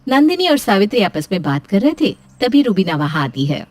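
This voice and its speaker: Animated woman storyteller with lively pitch. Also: a little fast. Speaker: story narrator. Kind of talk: scripted storytelling narration.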